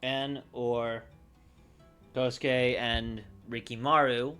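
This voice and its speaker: A man livestreaming casually, thinking aloud in a halting, unscripted way as he works through ideas. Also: mid-range voice, flat delivery, sharp tone, clean tone, faint music behind. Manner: halting, pausing to think and correct himself. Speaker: livestreamer brainstorming a roleplaying campaign.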